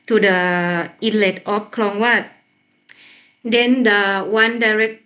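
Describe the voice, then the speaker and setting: Woman, Thai accent, academic conference presenter, halting delivery, repeated words. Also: medium pitch, a bit bright. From Thailand.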